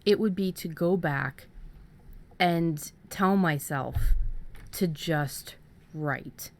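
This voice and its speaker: A woman's voice: fairly low pitch, extremely slow. A woman co-hosting a podcast, giving casual, earnest advice and often stumbling and repeating words as she goes. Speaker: podcast co-host. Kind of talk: casual conversational writing advice.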